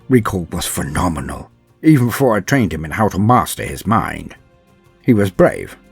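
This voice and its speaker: A man performs as an aging inquisitor mentor in a dark audio drama, stern and weary. His low voice is gravelly and husky, delivered in deliberate, emphatic phrases. Music plays beneath his brooding monologue. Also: full character voice. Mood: proud, intense. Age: older. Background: music under the voice.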